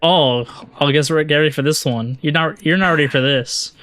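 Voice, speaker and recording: A man's voice: a little high, a bit bright, smooth. A man co-hosting a podcast in casual chat, slangy and exclamatory, often restarting his sentences. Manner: slangy, halting starts, exclamatory. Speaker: podcast co-host. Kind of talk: casual chat, recommending anime.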